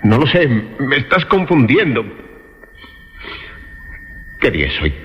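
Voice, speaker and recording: A man's voice: medium pitch, wildly swooping pitch, unhurried. An actor playing an anxious, bewildered man in a horror radio drama, stammering and insistent. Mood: confused. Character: bewildered, anxious man losing grip on reality. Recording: reverberant, dull radio drama recording.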